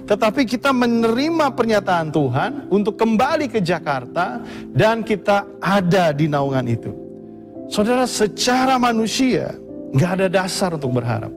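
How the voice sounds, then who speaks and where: A man's voice: sits high, sing-song, normal speed, music in the background. A pastor preaching a sermon to his congregation, addressing them again and again. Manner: preaching to the congregation, often calls them brothers and sisters.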